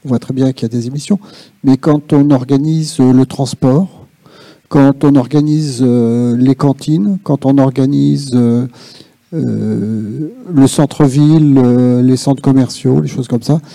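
A man speaking off the cuff at a public discussion, halting and reflective as he searches for his words. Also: medium pitch, steady tone, vocal fry, warm tone, dry recording. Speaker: audience participant in a policy workshop. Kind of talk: spontaneous spoken remarks at a public discussion.

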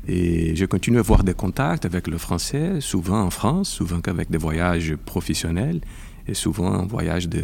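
A Brazilian man in his forties, a lawyer speaking French as a second language with a Brazilian Portuguese accent, in a careful, halting interview manner. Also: sits low, creaky, a little mellow, husky. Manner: careful, halting with repeated words. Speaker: intellectual property lawyer. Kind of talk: podcast interview.

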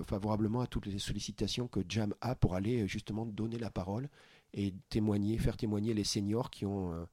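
A husky, slightly low-pitched man from France talks through his plans in an earnest, searching way, stopping often to find his words. The sound is close and dry, with no room around him. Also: dry recording. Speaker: podcast founder, interview guest. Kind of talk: casual interview chat.

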